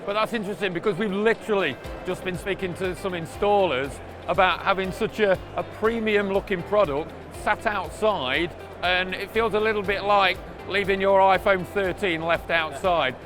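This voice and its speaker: A man's voice: high voice, normal speed, music in the background. A man presenting a conversational product interview, chatty and repeating words as he frames his questions.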